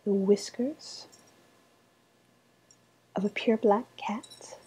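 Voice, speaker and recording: A woman playing a sly woodland witch in a softly spoken ASMR roleplay, half-whispering in a deliberate, sweetly ominous manner. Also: mid-range voice, fairly even intonation, slightly rough, exaggerated voice. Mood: hushed, ritual. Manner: slow, deliberate, hushed, ominous.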